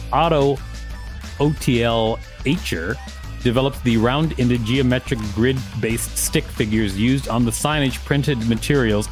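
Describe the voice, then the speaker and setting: A man co-hosting a podcast, reading an encyclopedia article aloud with casual asides. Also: medium pitch. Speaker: podcast co-host and reader.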